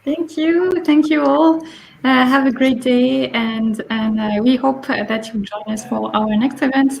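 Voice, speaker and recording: Brief: woman host, hesitant, higher-pitched, video call. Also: steady tone, unhurried, creaky, darkish tone, low-quality recording. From Paris, France. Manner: hesitant, many fillers. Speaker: event host. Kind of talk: online event talk.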